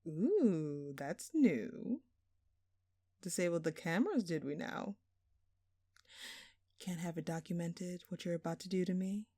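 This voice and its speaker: Slow, smug, flirtatious lady thief, acted by a woman. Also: lowish voice, animated, warm tone, no room sound. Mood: coy, intrigued. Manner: teasing, drawling, sultry, mock-innocent. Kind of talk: audio roleplay acting addressed to the listener.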